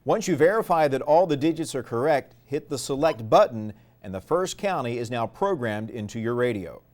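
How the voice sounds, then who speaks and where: A man's voice: mid-range voice, lively intonation, moderate pace, a little mellow. A man narrating a product tutorial step by step in a methodical, explanatory way. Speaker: instructional presenter.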